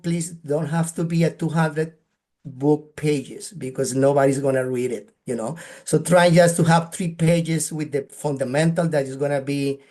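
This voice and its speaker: A man's voice: a little high, a little mellow. A man from Colombia with a Colombian Spanish accent, presenting practical advice in a webinar in an earnest manner, often ending points with a questioning tag. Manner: earnest, advice-giving, frequent "right?" tags. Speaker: guest speaker.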